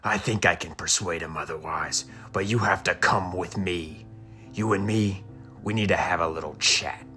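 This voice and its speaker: An actor playing a menacing, exasperated gang enforcer, streetwise and profane, in an audio drama. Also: male voice, deep voice, normal speed, sharp tone, faint music behind. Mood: coolly threatening. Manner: threatening, persuasive, streetwise.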